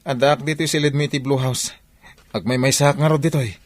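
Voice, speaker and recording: Male actor as broke, glum Ilocano friend, average male pitch, hesitant complaining delivery for comedy drama. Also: steady tone, a little fast, dry recording, natural voice. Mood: troubled. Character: glum, broke man with woman troubles, touchy among friends. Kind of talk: radio comedy drama acting.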